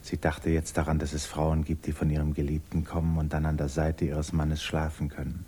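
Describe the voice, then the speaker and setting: A man's voice: a bass voice, monotone, a little fast, dark tone, breathy edge, exaggerated voice. An actor as the narrator of a 1950s literary radio drama, following a troubled woman's thoughts. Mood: quiet, intimate. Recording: 1950s radio recording, music behind.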